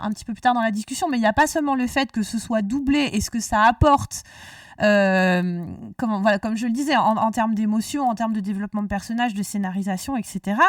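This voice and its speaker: A woman's voice: a little high, no echo, clean studio sound, far from the mic. A woman hosting a casual discussion, talkative and rambling, often restarting her sentences. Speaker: podcast host.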